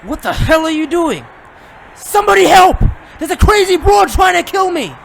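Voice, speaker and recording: A man playing a panicked, defiant gunman in an audio drama, shouting indignantly. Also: very high-pitched, sing-song, moderate pace, vocal fry, exaggerated voice. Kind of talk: fiction podcast audio drama acting. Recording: loud background noise.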